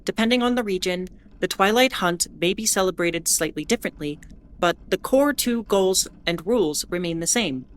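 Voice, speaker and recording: A woman's voice: fairly low pitch, normal speed, slight background noise, close to an everyday voice. A woman playing a wry, defiant bulletin host aboard a spaceship, narrating a scripted audio drama in a conversational, explanatory way. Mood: resigned, formal. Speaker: host of an in-world radio bulletin.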